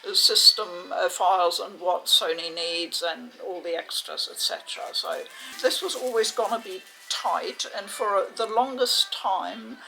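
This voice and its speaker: A woman giving a casual, chatty unboxing commentary in a measured, hesitant way, with a creaky voice. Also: medium pitch, bright, forceful tone, poor audio. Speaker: video game unboxing host. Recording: faint background noise.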